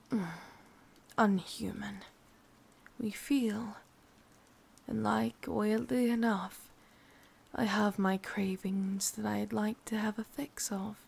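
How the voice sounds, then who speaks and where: A woman with an English accent voicing an ancient, lonely, sadistic witch in a breathy half-whispered ASMR roleplay, speaking slowly with drawn-out pauses. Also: medium pitch, a little mellow, full character voice. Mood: aloof. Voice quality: breathy, often slipping into whisper. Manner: slow, halting, drawn-out delivery with pauses.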